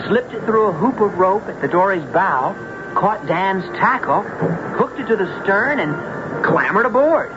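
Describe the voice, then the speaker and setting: A man's voice: sits high, animated, a little slow, music in the background. An actor playing an earnest, naive boy new to life at sea, in old-time radio drama style. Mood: recounting. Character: Harvey, earnest, green rich boy lost at sea. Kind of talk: old-time radio drama acting with first-person narration. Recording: muffled, noisy vintage radio recording.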